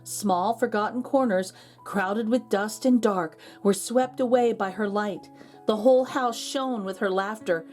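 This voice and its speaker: A woman narrating a children's storybook aloud as a read-aloud host, expressive and drawing out key words. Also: mid-range voice, unhurried, soft background music.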